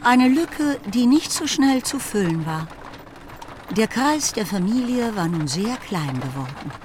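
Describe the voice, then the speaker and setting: A woman's voice: on the high side, sing-song, a little slow, darkish tone, slight background noise. A woman reading scripted literary narration for a radio drama in a composed manner.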